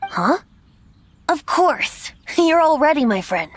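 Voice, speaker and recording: A woman voicing a youthful male wandering bard in an anime-style game, gentle, teasing and theatrical. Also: high voice, very animated, slow pace, a little hiss or hum, exaggerated voice. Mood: playful.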